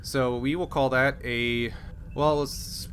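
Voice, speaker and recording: A man acting as game master, narrating a tabletop roleplaying game and explaining rules in a halting, think-aloud way. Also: medium pitch, fairly even intonation, fairly bright tone, a little hiss or hum.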